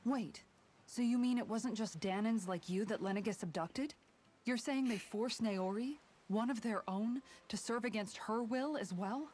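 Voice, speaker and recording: An actress playing a frank, fiercely supportive young fantasy fighter in a video game, earnest and firm. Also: female voice, a little high, moderate pace, darkish tone, close to an everyday voice. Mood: surprised, probing. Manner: earnest, firm, plain-spoken. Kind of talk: dramatic video game voice acting.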